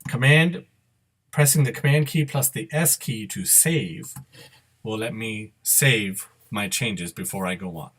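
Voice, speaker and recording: A middle-aged man narrating a step-by-step screen demo, unscripted and halting, correcting himself as he instructs. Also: on the low side, fairly bright tone. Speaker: software tutorial presenter. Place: indoor room at a computer.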